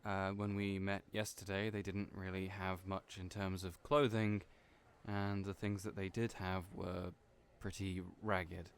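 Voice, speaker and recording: A man playing a kind, gentle friend in an audio roleplay, who speaks hesitantly with frequent fillers. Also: low voice, flat delivery, unhurried, a little hiss or hum.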